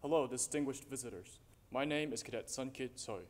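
Quiet teen cadet from Hong Kong. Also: male voice, medium pitch, fairly quick. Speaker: military academy cadet. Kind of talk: formal memorized speech. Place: dark auditorium stage. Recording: echoey hall, distant mic.

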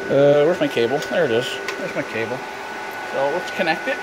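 A man's voice: on the high side, animated, moderate pace. A man giving a casual hands-on demonstration of technical equipment as a hobbyist, explaining and thinking aloud as he goes. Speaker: amateur radio hobbyist giving an equipment tour. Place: small indoor equipment room with radio racks. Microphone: handheld camera or phone held by speaker. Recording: loud fan and ventilation equipment noise.

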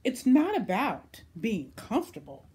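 A woman voicing a bossy, insistent know-it-all cat from a storybook, with emphatic, drawn-out delivery. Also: a little high, huge pitch swings, a little mellow, slightly rough, pitched above the performer's own voice, heavily acted. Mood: insistent, explaining.

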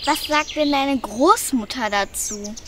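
A woman's voice: sits high, slow, background noise. A woman playing Doctor Flint, a pompous know-it-all professor in a children's radio play, lecturing self-importantly.

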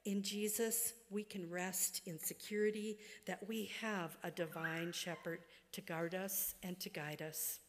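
A middle-aged or older woman preaching a sermon from a church pulpit, phrase by deliberate phrase, letting sentence ends trail away. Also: on the low side, steady tone. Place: church sanctuary, at a wooden pulpit. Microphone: gooseneck pulpit microphone. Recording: long reverberant church hall.

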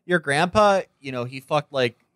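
A man's voice: fairly high pitch, animated, normal speed, fairly bright tone, no room sound, studio quality. A man co-hosting a podcast, riffing casually in a sardonic, rambling, profane way. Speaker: podcast co-host.

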